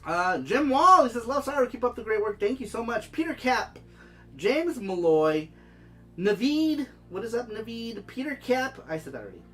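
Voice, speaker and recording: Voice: mid-range voice, big pitch swings, normal speed, fairly bright tone. A livestream host with a head cold and congested, stuffy-nosed sound, chatting casually and reading out viewer comments. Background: background music.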